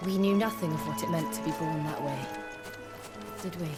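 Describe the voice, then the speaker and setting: A woman's voice: sits low, fairly quick, loud music behind the voice, natural voice. A woman playing an earnest, thoughtful fantasy companion in a video game, serious and questioning. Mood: earnest, concerned.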